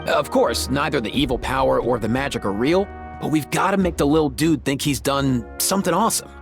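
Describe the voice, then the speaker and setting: A man playing an earnest, kindly young schemer in a video game, casual and expressive as he explains. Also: mid-range voice, brisk, vocal fry, close to an everyday voice. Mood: earnest, persuasive. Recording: light music behind.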